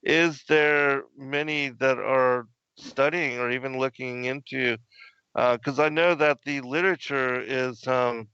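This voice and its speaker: A man hosting a casual interview, asking long, relaxed questions full of fillers. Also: mid-range voice, a little slow, clean tone, no room sound, dull audio. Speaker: host.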